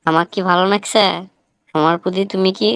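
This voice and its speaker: A woman's voice: fairly low pitch, steady tone, fairly quick, fairly bright tone, smooth, no echo, close to an everyday voice. A woman voicing a witty, defiant young village man in a comic cartoon, with a northern Bangladeshi accent and a wry, argumentative manner. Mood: teasing, accusing. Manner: argumentative, wry, rhetorical questioning.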